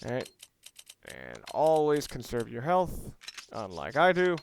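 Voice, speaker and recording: A man giving casual, explanatory let's play commentary, halting and rephrasing as he plays. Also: medium pitch, huge pitch swings, gravelly, a little hiss or hum. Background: video game audio and music.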